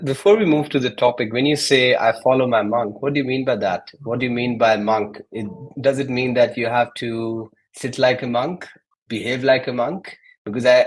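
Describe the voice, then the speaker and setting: A man's voice: mid-range voice, low-quality recording. A man hosting a podcast, putting questions to his guest in a conversational way. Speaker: podcast host. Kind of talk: conversational interviewing.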